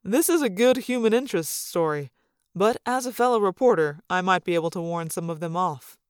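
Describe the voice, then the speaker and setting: A woman's voice: fairly low pitch, lively intonation, fairly quick, smooth, dry recording, studio quality, lightly acted. A woman voicing an easygoing, protective father in an audiobook story. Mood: reassuring.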